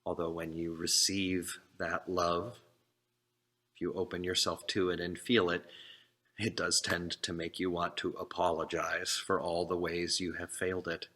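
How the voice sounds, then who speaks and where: A man preaching a sermon as a minister, speaking earnestly in short, deliberate phrases. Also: sits low, level tone, sharp tone.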